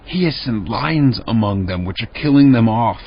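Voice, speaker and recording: A man playing a messenger who reports gravely and urgently to a king in a dramatized scripture reading. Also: mid-range voice, animated, moderate pace. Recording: dull radio-like audio.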